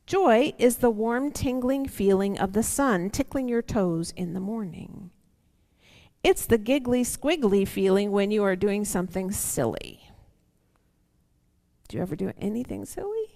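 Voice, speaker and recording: A middle-aged woman reads aloud to children as a church storyteller. Her pace is slow and her pitch sits in an ordinary female range, with expressive lingering on words and conversational asides. She speaks into a headset mic, with long hall reverb around the voice. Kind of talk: reading a picture book aloud to children. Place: large church sanctuary, seated indoors. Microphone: headset microphone.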